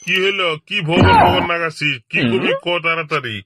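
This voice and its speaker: Man voicing a high-pitched cranky cartoon grandpa. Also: moderate pace, very noisy, lightly acted. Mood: suspicious, threatening. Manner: scolding, blustering, repetitive. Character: cranky, stingy old grandfather, scolding and threatening. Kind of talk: amateur comic cartoon dubbing. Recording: music behind the voice.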